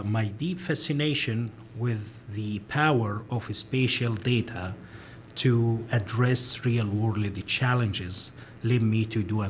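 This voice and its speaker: A man in his forties, born and raised in Egypt, answering interview questions as a professor in a measured, careful way with frequent fillers. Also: lowish voice, slight background noise. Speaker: professor, guest.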